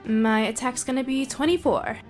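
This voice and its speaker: A woman's voice: high-pitched, moderate pace, darkish tone, soft background music. A woman speaking casually as a player taking her turns in a tabletop roleplaying game.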